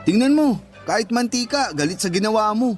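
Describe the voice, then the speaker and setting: A male actor plays a frank young best friend with a high-pitched voice. He teases and scolds bluntly in radio-drama style, with music faintly underneath. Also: expressive, brisk. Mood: teasing. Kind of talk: Tagalog radio drama acting.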